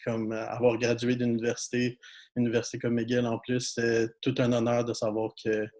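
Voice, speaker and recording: A young man with a Québécois French accent, a new graduate thanking donors, speaking hesitantly with many pauses and audible emotion. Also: medium pitch, completely flat delivery, a little mellow. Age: young adult. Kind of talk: spontaneous thank-you speech. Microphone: video call.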